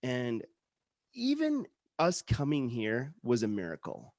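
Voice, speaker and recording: A man's voice: mid-range voice, huge pitch swings, slow, darkish tone, no echo, clean studio sound. A man who grew up in Utah, hosting a casual interview podcast, telling stories earnestly and with enthusiasm, with frequent "like" and restarts. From Utah, born in India. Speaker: podcast host.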